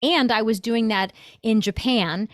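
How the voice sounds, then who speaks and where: A middle-aged woman, a consultant and author, tells her story as an interview guest. She is animated and laughs easily. Her voice is recorded close and dry, with almost no room sound. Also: fairly high pitch, unhurried, no room sound.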